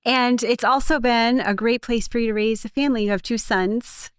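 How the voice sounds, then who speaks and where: A woman's voice: a little high, steady tone, moderate pace, clear, resonant tone, no echo. Friendly woman interviewer, dry sound. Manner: friendly, leading questions. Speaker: interviewer, host. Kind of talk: casual interview chat.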